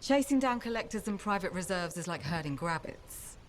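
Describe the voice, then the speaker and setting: An actress playing a wry, shrewd, tough bartender in a video game, with a blunt manner. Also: female voice, on the low side, animated, normal speed, slight background noise, natural voice. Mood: businesslike. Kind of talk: video game character dialogue.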